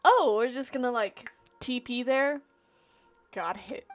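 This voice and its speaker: A woman's voice: sits high, measured pace. A young adult woman streamer giving casual live reactions, talking back to game characters in a slangy, joking way.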